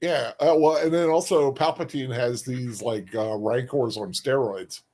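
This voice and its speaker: A man's voice: medium pitch, a little slow, warm tone. A man chatting casually as a co-host, with a joking way of talking. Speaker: podcast co-host. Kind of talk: casual chat.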